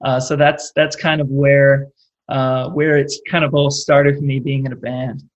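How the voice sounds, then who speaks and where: A young man with a Californian accent talks in a casual interview. His voice sits at a middle pitch, and he rambles along with plenty of "like" and "you know". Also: level tone, measured pace, highs cut off. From California, United States. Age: late 20s. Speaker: interview guest, rock singer.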